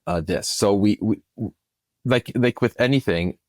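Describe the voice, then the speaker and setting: A man's voice: lowish voice, no echo, studio quality. A man giving enthusiastic explanations as an interview guest, often restarting his phrases as he goes. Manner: enthusiastic, halting with repeated starts. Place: remote recording over internet software.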